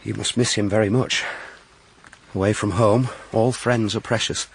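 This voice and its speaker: Low-voiced gallant clergyman. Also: fairly even intonation, moderate pace, gravelly, low-quality recording. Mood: earnest, tender. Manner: courteous, wry. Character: gallant, teasing, earnest country clergyman. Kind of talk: period audio drama acting. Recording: rain noise behind the voice.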